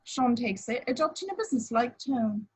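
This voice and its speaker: A woman narrates script directions at a casual table read. Her voice is fairly high with a husky edge, and the reading is plain. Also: expressive, moderate pace. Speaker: action-description reader.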